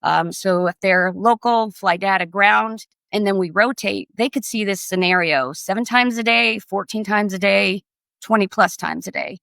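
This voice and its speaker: A woman's voice: medium pitch, normal speed, gravelly, fairly bright tone, dry recording, studio quality. A middle-aged woman speaking as an instructor, explaining things casually in an interview. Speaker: air traffic control instructor.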